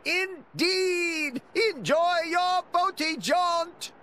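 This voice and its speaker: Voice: very high-pitched, extremely slow, a bit bright, a little hiss or hum, exaggerated voice. An actor playing an older furry male creature merchant in a video game, blustery and friendly, speaking in broken grammar. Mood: jubilant. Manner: broken grammar, drops articles, exclaims. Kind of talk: video game character acting.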